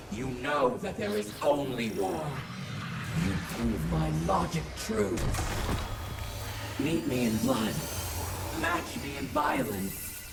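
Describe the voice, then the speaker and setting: A gravelly, imperious alien war goddess. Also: female voice, on the low side, exaggerated voice. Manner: grandiose, menacing. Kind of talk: dramatic video game acting. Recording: distant, echoing, music behind.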